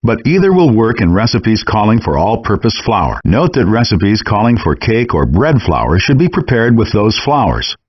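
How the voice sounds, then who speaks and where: A man with a low, husky voice narrates instructions for a cooking game. His delivery is measured and instructive, yet his intonation moves up and down a lot. The sound is dry, dull and compressed, like handheld game audio. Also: big pitch swings, no echo.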